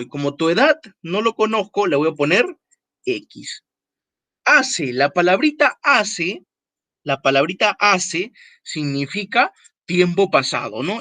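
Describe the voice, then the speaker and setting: Guy teacher explaining math online, highish voice that jumps around a lot, dry close sound, keeps repeating stuff. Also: fairly bright tone, no room sound. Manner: repeats key phrases and checks in with tag questions; stresses words slowly when explaining.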